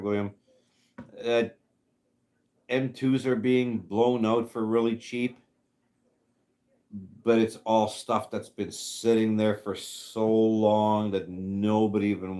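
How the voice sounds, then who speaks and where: A man in his fifties hosting a casual livestream chat, rambling and halting with many fillers as he answers viewers. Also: on the low side, darkish tone. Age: 50s. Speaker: livestream host reading chat.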